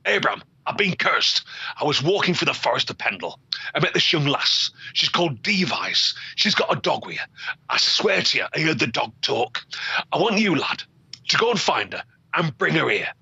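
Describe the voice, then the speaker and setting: A man voicing a cursed old male peddler who shouts in panic with broad dialect speech. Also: fairly high pitch, sing-song, normal speed, gravelly, breathy edge, dry recording, highs cut off, exaggerated voice. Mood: panicked.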